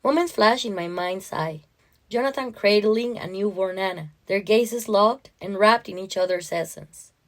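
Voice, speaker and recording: A woman's voice: mid-range voice, moderate pace, rough recording. Woman narrating a literary short story. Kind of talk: scripted first-person literary narration with acted dialogue.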